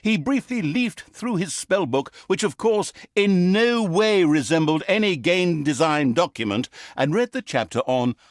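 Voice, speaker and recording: An actor playing a smug, petty narrator of a comic fantasy video game, pompous and sardonic, breaking the fourth wall in hammy storytelling. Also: male voice, high-pitched, expressive, unhurried, hardly any pauses, no echo, studio quality. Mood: grandly self-satisfied.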